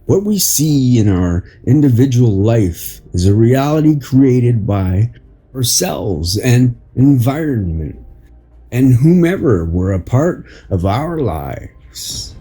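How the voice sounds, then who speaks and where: A man narrates an inspirational piece in a soft-edged, mellow voice with a strongly husky grain. He takes it very slowly, phrase by deliberate phrase, over background music. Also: mid-range voice, big pitch swings. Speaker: podcast host and article reader. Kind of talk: slow read narration of an inspirational article.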